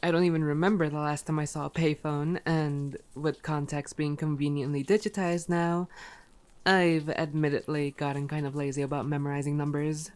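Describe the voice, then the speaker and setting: A woman's voice: low-pitched, little pitch movement, normal speed, a little mellow, close to an everyday voice. A woman playing a sarcastic, teasing female vampire in an ASMR roleplay audio drama, playful and exasperated. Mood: wry.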